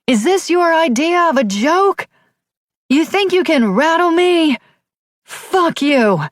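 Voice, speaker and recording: A woman's voice: very high voice, lively intonation, slow, dry recording, studio quality, pitched above the performer's own voice, exaggerated voice. A woman voicing a young policewoman who screams in terror and rage. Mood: furious. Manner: shouting, raw, furious.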